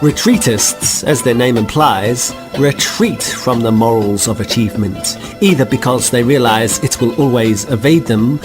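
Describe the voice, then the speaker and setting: A man giving measured, dryly ironic narration in a lecturing tone, as the voice of a guidebook in a comic radio drama. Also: medium pitch, natural voice. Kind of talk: scripted dry narration.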